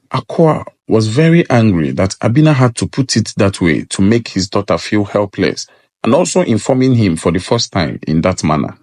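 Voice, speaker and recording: A man's voice: fairly low pitch, dry recording, clean studio sound. A man narrating a scripted folktale as a storyteller.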